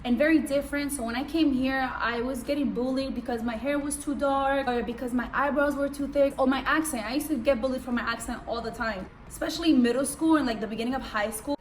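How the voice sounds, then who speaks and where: A young woman born in Cuba and raised in Israel, whose first language is Hebrew, rambling fast and slangy through a casual vlog in a room set up for filming. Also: high voice, a little hiss or hum. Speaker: vlogger. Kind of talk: casual get-to-know-me vlog. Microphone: camera mic.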